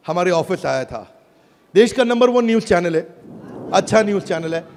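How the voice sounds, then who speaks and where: A man giving an energetic stage keynote to a live audience, emphatic and crowd-rousing, calling out for responses. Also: high-pitched. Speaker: motivational business speaker launching an app. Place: large auditorium with a live audience. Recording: reverberant hall, crowd and noise.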